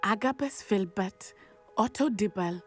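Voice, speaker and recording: A woman's voice: medium pitch, measured pace, faint music behind, close to an everyday voice. A woman voicing a resolute young student ally in a video game, formal and precise in her speech.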